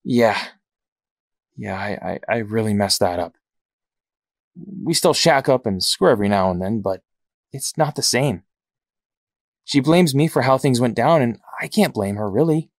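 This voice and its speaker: A man playing a cocky but regretful young male hero in an amateur audio drama, talking in a casual, halting way. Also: medium pitch, animated, no echo, clean studio sound.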